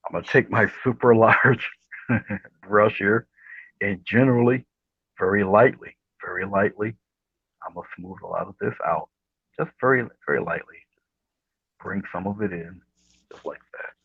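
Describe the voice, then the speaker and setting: A man with a low, husky voice giving a casual painting demonstration, speaking softly and often trailing off into a murmur. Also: normal speed, long pauses. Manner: soft, murmuring, trails off near whisper. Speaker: guest painting instructor. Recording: dull, roomy livestream audio.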